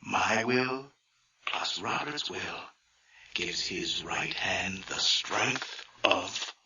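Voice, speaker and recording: Male taunting ghostly twin for radio horror: sharp, piercing, theatrical, swooping delivery, echoing voice. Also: sits low, animated, exaggerated voice. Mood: taunting, menacing. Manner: mocking, theatrical, deliberate, breaking into whispers and chuckles. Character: sinister, taunting dead twin possessing his brother. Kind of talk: radio horror drama acting. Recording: echoey, distant, muffled hissy old radio recording.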